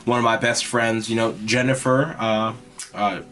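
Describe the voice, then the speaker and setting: A young man hosting his own podcast, talking in a loose, rambling way with lots of "like" and "you know", in a raspy, mostly monotone voice. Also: on the low side, normal speed. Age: young adult. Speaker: podcast host. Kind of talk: casual rambling monologue.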